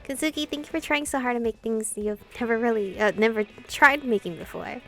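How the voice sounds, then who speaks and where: An earnest young policewoman played by a woman reader speaks in a fairly high voice over faint music. She is soft-hearted, hesitant and heartfelt, a visual novel heroine. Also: brisk. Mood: delighted, grateful.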